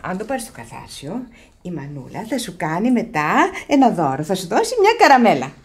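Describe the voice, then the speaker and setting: An indulgent mother in a radio comedy. Her melody is highly animated and theatrical. She is doting, yet quick to scold. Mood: coaxing, doting. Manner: cooing endearments, scolding and theatrical. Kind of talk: radio play farce acting.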